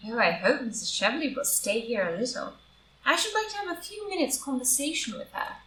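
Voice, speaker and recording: A woman's voice: high voice, sing-song, a little fast, bright, forceful tone, exaggerated voice. A woman playing an upright, stern, indignant society wife with earnest, morally rigid delivery in a stage play reading. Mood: polite. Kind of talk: stage play read as audio drama. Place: indoor room, live group reading. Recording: roomy, distant live reading, faint noise.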